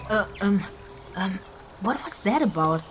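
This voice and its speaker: A woman's voice: medium pitch, expressive, a little slow, over loud music, lightly acted. A woman playing a curious, conciliatory young companion in a fantasy audio drama, friendly and questioning. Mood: tentative, persuasive.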